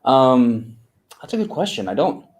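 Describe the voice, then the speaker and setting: A man co-founder of an agency, answering interview questions in an unhurried way with many fillers and restarts. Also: mid-range voice, sing-song, a little mellow.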